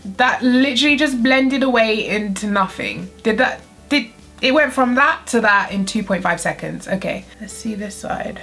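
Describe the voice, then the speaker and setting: A woman's voice: on the high side, moderate pace, fairly bright tone, faint music behind. A young adult woman vlogger talking through a makeup tutorial, her nose blocked from a cold, chatty and full of "like". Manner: chatty, uses "like" often. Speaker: beauty vlogger.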